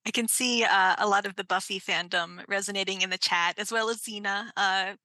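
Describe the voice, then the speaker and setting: Woman, panel moderator, bright voice, dry close sound, casual Q&A style. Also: medium pitch, steady tone, fairly quick, no room sound. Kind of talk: casual hosted Q&A.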